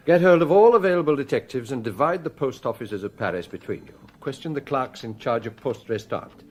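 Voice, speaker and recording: An actor playing a shrewd, genial police chief in a British radio crime drama, brisk, commanding and probing. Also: male voice, mid-range voice, expressive, a little hiss or hum, natural voice.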